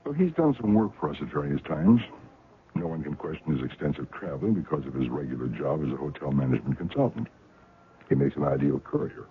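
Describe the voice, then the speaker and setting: An actor playing a courteous, evasive older man who heads a secret intelligence outfit, speaking in an unhurried way full of hums and hesitations. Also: a bass voice, expressive, a little hiss or hum. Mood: persuasive. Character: genial older male spymaster, smooth and evasive. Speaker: head of an intelligence operation. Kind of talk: 1970s radio drama acting. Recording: tinny, lo-fi old radio broadcast.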